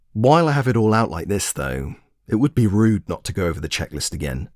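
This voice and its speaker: Man acting a pompous, low-voiced obsessive planner. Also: dry recording, clean studio sound. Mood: smug lecturing. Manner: pompous, precise, condescending. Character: smug, pedantic, self-admiring obsessive male traveller packing. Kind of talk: first-person comic monologue, scripted audio story.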